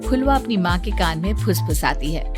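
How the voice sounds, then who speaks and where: A woman's voice: fairly low pitch, expressive, moderate pace. A woman storyteller reading scripted narration of an emotional family story. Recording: music behind the voice.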